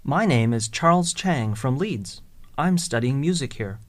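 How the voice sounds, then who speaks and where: A speaker reading scripted English listening exercises in a slow, deliberate way, spelling out words letter by letter. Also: mid-range voice, expressive, close to an everyday voice. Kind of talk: scripted slow textbook dialogue.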